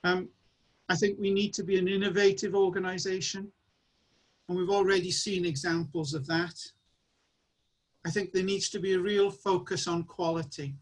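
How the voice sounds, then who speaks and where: A man's voice: high voice, little pitch movement, moderate pace. A man chairing an online annual meeting, opening it up for questions and giving earnest closing remarks.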